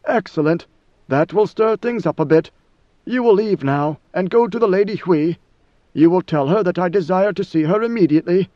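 A cold, imperious god-emperor, voiced by a male narrator, speaking with lofty, measured command. The voice sits high. The recording is dry and slightly muffled. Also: no echo, lightly acted.

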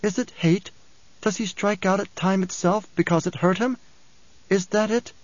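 A man voicing an anguished, imperious young woman seer in an audiobook, with a soft, strained, intense delivery. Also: high-pitched, moderate pace, dry recording, dull audio, pitched above the performer's own voice, close to an everyday voice.

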